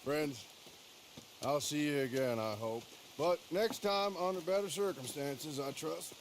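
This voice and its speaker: An actor playing a resolute Old West lawman with an American accent, speaking in a dry, measured, plain way for a game cutscene. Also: fairly high pitch, background noise. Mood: sincere, grateful. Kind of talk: video game cutscene acting.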